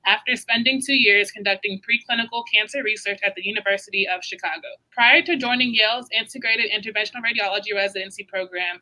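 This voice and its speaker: A woman with a very bright, sharp-edged voice reads a prepared introduction. Her delivery is steady and careful, as if working from a script over a video call. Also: medium pitch, poor audio. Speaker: co-moderator introducing the speaker.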